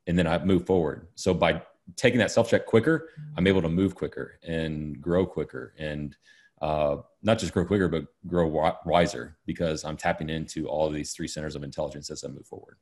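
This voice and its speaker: A man with a very deep, low voice talking casually as a podcast guest. He hesitates often and keeps saying "you know" while he searches for words. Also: a little fast, darkish tone. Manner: hesitant, many "you know" fillers and restarts. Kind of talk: casual conversation.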